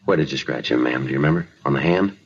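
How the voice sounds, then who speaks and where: A dull 1950s radio recording of an American police detective. His voice is extremely low and he talks quickly, deadpan and clipped, in matter-of-fact radio drama fashion. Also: breathy edge, exaggerated voice. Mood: businesslike, patient. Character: terse, matter-of-fact male police detective narrating a case.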